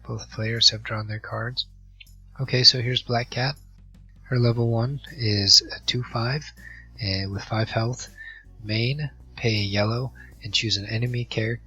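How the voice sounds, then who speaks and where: A hoarse man with a cold commentating an online card game match, reading out card text with many halting fillers. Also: lowish voice, bright, forceful tone. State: hoarse from a virus. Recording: dull lo-fi mic with faint music.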